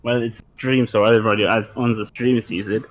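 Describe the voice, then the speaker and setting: A man's voice: fairly low pitch, fairly even intonation, fast, no room sound, lo-fi sound. Hesitant man in a dry-sounding group chat. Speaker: participant in an online group chat. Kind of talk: casual chat. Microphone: online voice call.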